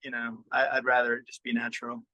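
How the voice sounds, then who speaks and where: A man speaking as a musician guest in a casual interview, thinking aloud in a halting way as he explains. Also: mid-range voice, completely flat delivery, pushed and bright, no echo, highs cut off.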